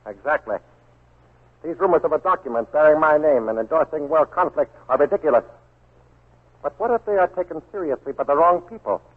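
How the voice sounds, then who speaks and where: An actor playing a formal, menacing old Japanese baron in a 1940s radio drama, husky, measured and coolly polite. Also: male voice, on the high side, expressive. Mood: coolly polite menace. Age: older. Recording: scratchy narrow-band 1940s radio recording.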